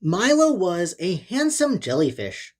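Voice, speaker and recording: Very animated woman reading a children's story. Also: fairly low pitch, unhurried. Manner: dramatic, expressive storytelling. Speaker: storybook narrator. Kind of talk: scripted children's story read-aloud.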